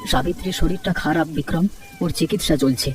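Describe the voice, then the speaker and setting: A man's voice: on the high side, a little fast, far from the mic, natural voice. A man voicing a poor, caring, worried village rickshaw puller in a cartoon. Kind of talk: Bengali cartoon story dialogue.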